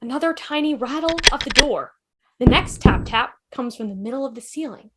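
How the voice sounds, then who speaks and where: High-voiced woman narrating stage directions. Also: animated, brisk, very noisy. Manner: punchy, reads sound effects aloud. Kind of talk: dramatic scripted narration at amateur table read.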